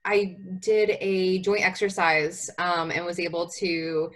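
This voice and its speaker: A woman hosting an interview, chatty and quick to laugh. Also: lowish voice, steady tone, fairly bright tone. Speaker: podcast host. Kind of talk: casual interview chat.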